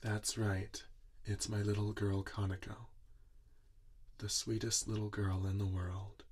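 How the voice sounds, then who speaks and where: A man acts out a doting, weary inventor father in an ASMR roleplay. He speaks softly and very slowly, with little rise or fall. The voice is low and tender, as he muses to the robot he built. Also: flat delivery, slightly rough. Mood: patient, encouraging. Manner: slow, tender, musing, talking to a robot listener. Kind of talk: soft-spoken ASMR roleplay.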